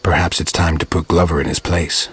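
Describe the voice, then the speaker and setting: Game hero, male: a blunt, dry monster hunter, extremely low and heavily rasping. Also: brisk, no echo, faint music behind, exaggerated voice. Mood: threatening. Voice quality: raspy, gravelly growl. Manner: terse, deadpan, blunt. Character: gruff, dry, weary monster hunter, adult man. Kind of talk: video game voice acting.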